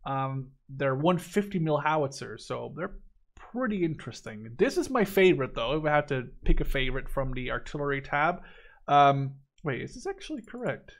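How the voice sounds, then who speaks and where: A young man from the Netherlands with a voice a little higher than most men's. He talks through a game as a YouTuber would, casually and informally. His delivery is opinionated and blunt. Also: sing-song, moderate pace. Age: 20s. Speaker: gaming YouTuber reviewing a game. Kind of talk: casual gameplay commentary.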